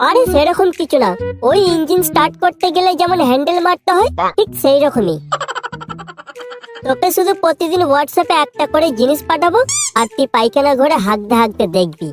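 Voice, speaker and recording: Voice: high voice, lively intonation, fairly quick, over music. A performer voicing a cheeky, foul-mouthed schoolboy troublemaker in a comedy cartoon, with crude slangy banter. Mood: slyly encouraging.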